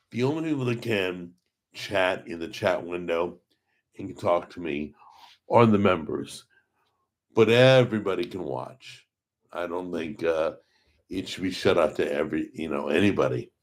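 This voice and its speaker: A middle-aged man hosts a live stream in a hoarse, weakened voice. His pitch sits very low, and he talks in a rambling, chatty way, stopping to greet viewers by name. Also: normal speed. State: hoarse from a paralyzed vocal cord. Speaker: host of a cooking channel livestream. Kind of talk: casual live stream chat.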